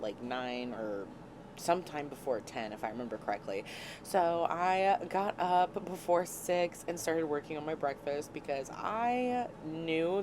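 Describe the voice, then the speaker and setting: A woman hosting a casual cooking vlog from a small RV, slightly hoarse and scratchy from a cold, chatty and laughing at her own clumsiness. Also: sits low. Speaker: vlog host. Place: small RV kitchen. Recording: noticeable background noise.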